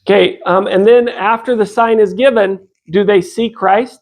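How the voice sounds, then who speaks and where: A fifty-something American man speaks as an informal religious lecturer. His voice sits high for a man and has a strong gravelly creak. He talks conversationally, posing questions to his listeners and voicing imagined exchanges. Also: steady tone, normal speed.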